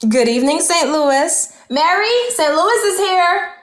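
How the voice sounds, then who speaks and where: A woman's voice: extremely high pitch, animated, a little slow. A woman playing a welcoming mother in an audio drama, singsong, in an echoey room. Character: welcoming mother seeing off a courting couple. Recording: echoey room, music behind.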